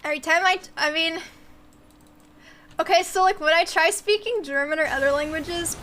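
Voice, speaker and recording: A woman's voice: very high-pitched, moderate pace, a bit bright. A young woman in her twenties whose first language was Mandarin, chatting casually on a game stream, giggling and filling her talk with "like" as she reads chat aloud.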